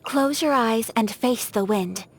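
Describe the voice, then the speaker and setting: A woman playing a gentle, refined young noblewoman in a video game, speaking with formal, poetic, soft-spoken politeness. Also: a little high, slow. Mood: serene, poetic. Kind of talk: scripted video game character voice lines. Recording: music behind the voice. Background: music.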